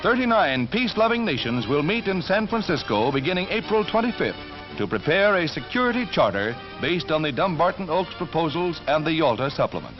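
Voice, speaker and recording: A man's voice: fairly high pitch, big pitch swings, a little fast. An American man reading scripted 1940s wartime newsreel narration in a dramatic, emphatic announcer's delivery. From United States. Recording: dull 1940s newsreel soundtrack. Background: orchestral music.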